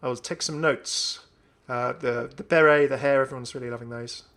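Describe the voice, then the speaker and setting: A man's voice: mid-range voice, steady tone, fairly quick, a bit bright. Enthusiastic young man commentating. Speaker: gaming video host. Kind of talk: casual first-look game commentary.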